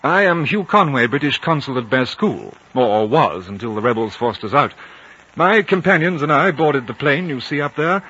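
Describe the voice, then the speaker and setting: A man's voice: mid-range voice, moderate pace, slightly rough. A man playing a composed, courteous British consul who leads and narrates a 1950s radio drama in articulate speech. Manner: calm, articulate, reasoning. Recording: muffled noisy 1950 radio recording.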